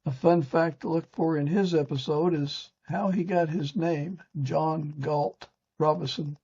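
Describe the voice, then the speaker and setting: A very husky older man hosts a podcast in a dull, lo-fi recording. His voice sits a little high and he reads his script in a stiff, halting manner, with a soft rather than bright tone. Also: fairly even intonation. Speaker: podcast host. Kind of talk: scripted narration read from a teleprompter. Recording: dull, lo-fi sound.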